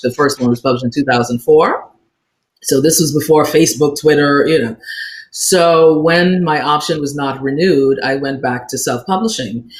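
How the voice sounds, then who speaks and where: A woman who grew up in the Bahamas, speaking on an author panel and telling animated stories, playing out the voices of people she quotes and laughing often. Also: rumbling low, echoey room, rough recording. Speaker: author on a book festival panel. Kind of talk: casual panel talk, storytelling.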